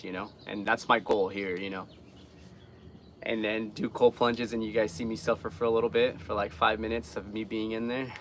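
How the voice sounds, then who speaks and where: A young man talks casually as a live streamer, in an earnest and rambling way. His voice sits a little low with a gravelly fry, and there is faint noise behind him. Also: a bit bright. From Guatemala. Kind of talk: casual live chat with viewers.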